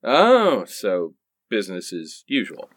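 A man's voice: lowish voice, huge pitch swings, takes it slowly, no echo, clean studio sound, full character voice. A man playing a sarcastic, bewildered sitcom character in a comic radio play, with a wry, quipping manner. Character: sardonic married sitcom man, bewildered and quick to quip.

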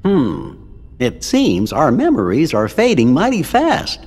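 An actor playing a small, kindly, cautious cricket in a video game cutscene, fussy and husky. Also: mid-range voice, huge pitch swings, takes it slowly, a little mellow, in a large hall, full character voice. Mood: worried.

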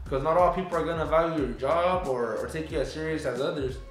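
A man in his thirties, a barber, giving casual advice in a relaxed, rambling way, full of fillers and restarts. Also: a little high, quick pace. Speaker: barber and channel host. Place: small barbershop, indoors. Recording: echoey shop room with faint music.

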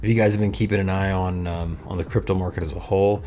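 A man's voice: deep voice, level tone, fast, dark tone. A man in his thirties chatting frankly through a screen-share review as a video host, with many casual fillers, recorded on a desk mic in a small lit room. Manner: chatty, frank, fillers like "you know" and "right?". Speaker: crypto investing YouTuber. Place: small room with purple and blue lights.

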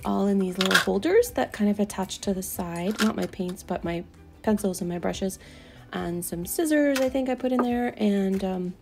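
A woman's voice: medium pitch, moderate pace, creaky, over music. A middle-aged woman chatting casually as she narrates a video, rambling with lots of fillers. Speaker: doll artist vlogger. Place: home craft room.

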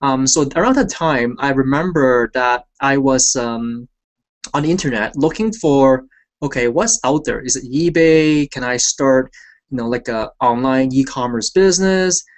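A man's voice: mid-range voice, unhurried. Chatty man. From Hong Kong. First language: Cantonese. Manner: relaxed, chatty, lots of fillers like "you know" and "right?". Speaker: hangout host. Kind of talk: casual personal story on a video call. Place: small room indoors. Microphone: headset on video call.

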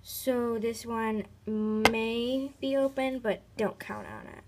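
Casting: female vlog host, slightly high voice, informal and rambling, unhurried, casual narration captured on a phone. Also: steady tone. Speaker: host of a toy video channel. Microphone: phone held near the speaker. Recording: noisy handheld phone recording with clicks.

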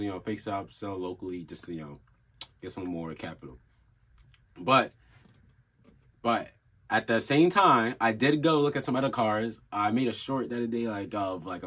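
A young man hosting a casual car vlog from a small room at home, rambling in a laid-back way with lots of fillers and restarts. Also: mid-range voice. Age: young adult. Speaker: car channel host. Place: small home room with desk and gaming setup.

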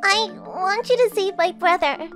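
A woman's voice: extremely high pitch, a little slow, fairly bright tone, soft background music. A woman voicing a worried, earnest little girl in an anime dub.

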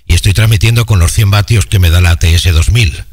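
A man talks casually to fellow radio operators. He goes haltingly, repeating words as he finds his next phrase. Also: low voice, darkish tone. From Spain. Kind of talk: casual radio contact chat. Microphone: dynamic vocal microphone through audio rack.

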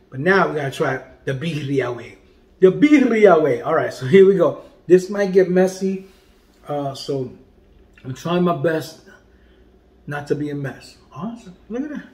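A man in his forties, a comedian hosting a casual food review vlog, talking in a playful, animated way and dropping to quiet murmurs while he tastes. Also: a little high. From Puerto Rican heritage. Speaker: food vlogger and comedian host. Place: home dining room indoors. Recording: echoey room.

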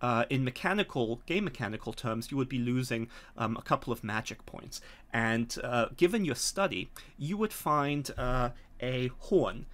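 A middle-aged man narrating a tabletop horror game as game master, deliberate and often pausing, on a home video call. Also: medium pitch, lively intonation, fairly bright tone.

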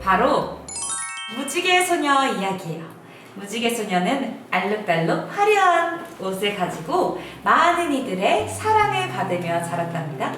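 Woman children's storyteller, high lively voice, expressive, drawn-out phrases, echoey room, music behind. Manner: expressive, sing-song, drawn-out.

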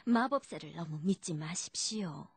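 A woman's voice: on the low side, animated, normal speed, husky, no echo, heavily acted. A woman voicing a worried, dignified, distrustful queen in a children's puppet show, formal and cautioning. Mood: wary, cautioning.

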